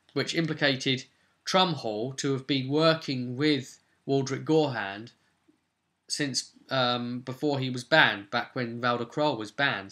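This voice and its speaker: A man casually recapping his tabletop game as game master, rambling and breaking off into animated asides to viewers. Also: medium pitch. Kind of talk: casual video recap, storytelling.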